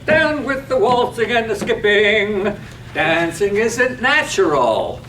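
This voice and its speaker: A man's voice: high voice, big pitch swings, takes it slowly, pushed and bright, heavily acted. A man playing a drunken, merry pub drinker who declaims and bellows out song in a staged reading of a radio drama. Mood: scornful, boisterous. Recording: roomy, distant mic, faint noise.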